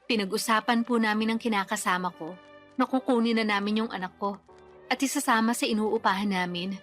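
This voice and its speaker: A woman's voice: mid-range voice, brisk, a bit bright, faint music behind, lightly acted. Woman acting polite, earnest young mother. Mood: hesitant, earnest. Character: respectful, remorseful young mother, hopeful bride-to-be. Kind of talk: Tagalog radio drama acting.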